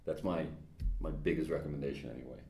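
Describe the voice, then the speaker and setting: A man, a panelist in a discussion, talking in a measured way and thinking aloud. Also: very deep voice, fairly even intonation, mellow, echoey room. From Netherlands. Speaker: panelist, freelance digital analyst. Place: meeting room.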